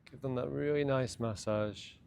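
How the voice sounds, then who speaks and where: Male meditation guide, medium pitch, gentle, unhurried, soothing, short instructional phrases, noticeable background ambience. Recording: clear steady background ambient noise.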